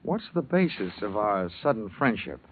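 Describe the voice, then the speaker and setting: An American man playing a wisecracking, hard-boiled insurance investigator in a 1950s radio detective drama, with a wry, deadpan delivery. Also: on the low side, normal speed. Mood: wry, probing. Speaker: lead actor and narrator. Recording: tinny, noisy vintage radio recording.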